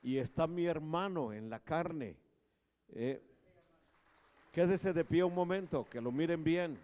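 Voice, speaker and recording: A pastor in his sixties giving a sermon in a joking manner, growing louder as he preaches in a large church hall. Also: a little high. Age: 60s. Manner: slow, joking, grows loud when preaching. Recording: long church reverb.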